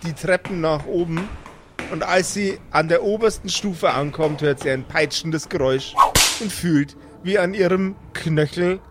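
A man's voice: on the high side, a little slow, noisy background. A man working as game master, narrating an improvised tabletop role-playing audio play.

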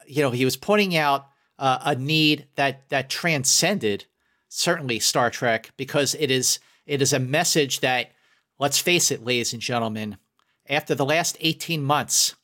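Middle-aged man, bright forceful tone, raised pitch, effusive podcast guest, emphatic repetition. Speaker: guest film critic and entertainment journalist. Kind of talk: enthusiastic podcast discussion.

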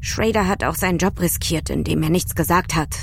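Defensive astronaut in audio drama, slightly low voice, guarded, bit of background noise. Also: female voice, a little fast, vocal fry. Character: guarded female astronaut confessing a secret. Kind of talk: German audio drama acting.

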